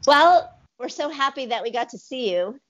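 A woman's voice: high voice, lively intonation, moderate pace, a bit bright. Reflective woman interviewing over dull video call. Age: middle-aged. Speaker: interviewer, arts curator. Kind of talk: conversational interview. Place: indoor room. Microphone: wired earphones on a video call.

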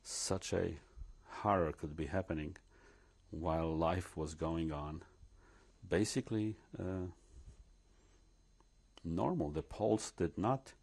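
Older man with a low, husky voice. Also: a little mellow. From Poland. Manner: measured, reflective, with frequent pauses and restarts. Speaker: interviewee. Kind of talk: recounting memories in an interview. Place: living room, seated before curtains. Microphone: lapel mic.

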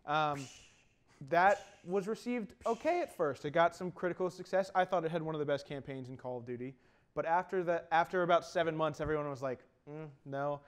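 A man in his twenties co-hosting an animated video game discussion, explaining things in an opinionated way. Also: on the high side, clean tone. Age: 20s. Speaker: talk show co-host. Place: indoor TV studio.

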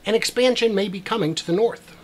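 Chatty wry man, slightly high voice. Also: a little fast. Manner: chatty, wry asides, many "uh" fillers. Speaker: YouTube host. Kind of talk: casual commentary over drone footage. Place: small room with a brick wall.

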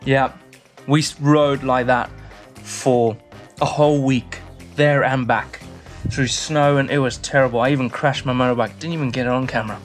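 A man's voice: mid-range voice, steady tone, normal speed. A man in his thirties from the UK, presenting a casual workshop vlog, chatty and self-mocking as he thinks aloud while building, recorded on a head-mounted action camera in a garage. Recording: roomy garage echo with music behind.

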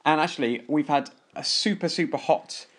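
A woman with a strikingly low voice hosts a family podcast. She chats casually and puts questions to the others in a conversational way. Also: moderate pace, husky. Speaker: podcast host, parent.

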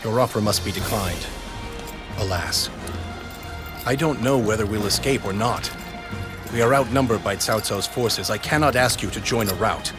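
An actor playing a noble, troubled warlord in a video game cutscene, speaking gravely and formally. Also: male voice, on the low side, normal speed, long pauses, natural voice. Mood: regretful. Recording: background music under dialogue.

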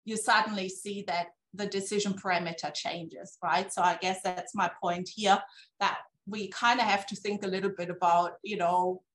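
A woman's voice: mid-range voice, steady tone, normal speed, a bit bright. Woman lecturing over a video call, saying "right?". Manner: conversational, tags sentences with "right?". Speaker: keynote speaker. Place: office with a poster on the wall. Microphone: laptop webcam on a video call.

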